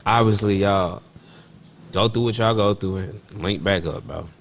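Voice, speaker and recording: A man's voice: on the low side, unhurried, a little hiss or hum. A man co-hosting a podcast in laid-back, slangy casual chat, calling people bro. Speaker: podcast co-host.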